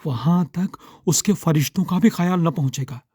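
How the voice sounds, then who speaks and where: A man voicing a scheming, flattering royal vizier in an audiobook story, speaking in an urgent, courtly, deferential way. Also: a little high, normal speed, breathy edge, no room sound, studio quality, voice pushed up. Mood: sly, eager.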